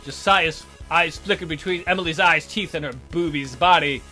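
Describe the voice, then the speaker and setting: Guy doing a let's play readthrough, bright high voice, cracks irreverent comments as he narrates, bit of music under him. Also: moderate pace. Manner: irreverent, amused, breaks off to comment. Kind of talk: reading visual novel aloud with joking asides.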